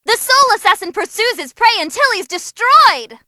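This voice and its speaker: A woman voicing an excitable young female demon who commentates on tournament fights in a bubbly, theatrical way for a game. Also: extremely high pitch, moderate pace, dry recording, exaggerated voice. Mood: excited, hyped.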